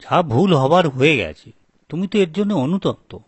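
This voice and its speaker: A man's voice: on the high side, lively intonation, moderate pace, husky, no echo. A man playing a humble, forgiving younger brother, a village farmer in a folk tale, speaking earnestly. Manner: earnest, conciliatory.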